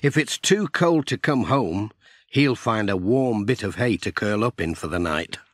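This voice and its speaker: A kindly village postman, acted out by a male storyteller, friendly and practical. He speaks without hurry and with lively, caring intonation in a children's audiobook. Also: lowish voice, a little slow, dry recording, studio quality. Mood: reassuring.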